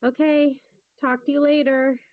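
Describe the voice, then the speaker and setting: A woman's voice: high-pitched, little pitch movement, unhurried, crowd noise. A woman playing a concerned young woman, a casual but worried friend, in a horror script table read. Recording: thin, phone-like microphone sound.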